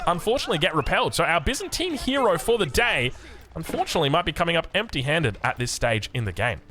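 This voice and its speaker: A man's voice: a little high, bright, forceful tone. An Australian man giving live strategy game commentary in a chatty, enthusiastic, self-correcting way. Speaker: esports caster of a strategy game.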